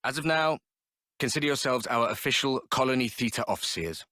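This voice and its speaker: Stern, forceful military commander. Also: male voice, medium pitch, expressive, a little fast, dry recording, clean studio sound. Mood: stern, final. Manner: curt, authoritative. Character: stern, unyielding male military colony commander. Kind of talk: video game cutscene acting.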